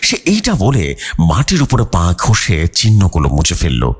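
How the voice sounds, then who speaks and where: A man's voice: deep voice, huge pitch swings, measured pace, breathy edge. A man narrating a horror story as its host, in a suspenseful, dramatic storytelling manner.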